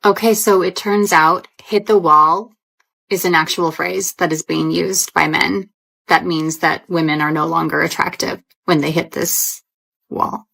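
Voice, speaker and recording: A woman explaining an online phrase to camera in a measured way. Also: low voice, steady tone, vocal fry, a bit bright, husky, low-quality recording. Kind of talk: casual video commentary.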